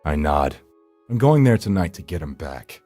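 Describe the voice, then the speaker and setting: Man, low pitch, mellow dark tone, brooding theatrical narration, visual novel read-aloud, faint music behind. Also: big pitch swings, moderate pace. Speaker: gameplay channel host reading aloud.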